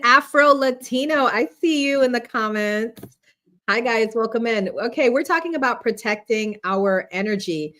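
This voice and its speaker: A woman's voice: fairly high pitch, a bit bright. Woman hosting a casual live discussion. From Guyana. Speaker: host of an online panel talk.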